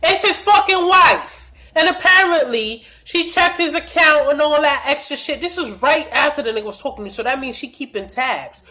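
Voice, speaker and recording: A young woman tells a storytime vlog in a blunt, profane, animated way. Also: sits high, moderate pace, few pauses, low-quality recording. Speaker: vlogger.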